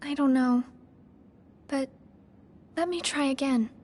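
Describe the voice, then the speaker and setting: An actress playing a sweet, shy teenage girl in a video game, gentle and a little hesitant. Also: high voice, slow. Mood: soft, uncertain.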